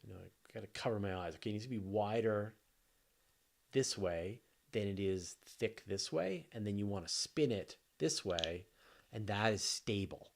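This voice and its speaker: A man's voice: on the low side, animated, moderate pace, creaky. A man hosting a live astronomy question show, chatting casually and off the cuff with informal enthusiasm.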